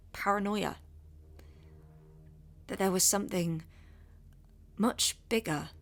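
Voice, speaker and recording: An actress playing a curious young woman podcaster from the English Midlands, narrating in a measured, wry way and asking questions. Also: medium pitch, creaky. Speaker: investigative podcast host. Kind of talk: scripted podcast narration and street interviews.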